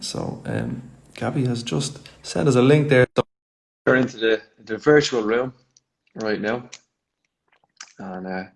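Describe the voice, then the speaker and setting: An Irish man with a fairly low voice hosts a casual live video chat. His delivery is chatty and rambling, often circling back and repeating himself before he finishes a thought. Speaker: host.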